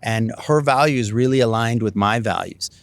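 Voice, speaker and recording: A man's voice: medium pitch, moderate pace, gravelly, no echo. A middle-aged Canadian man, a city council candidate, answering interview questions in a rambling way with many fillers and restarts.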